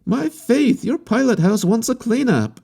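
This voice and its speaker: A boyish young Russian adventurer whose voice leaps far above the narrator's deep register into thin, falsetto-like cries. He gabbles eagerly, swinging between cheer and gloom, and his timbre sounds unlike the storyteller's own. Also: big pitch swings, creaky, dark tone, husky, full character voice. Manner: rapid, eager and changeable.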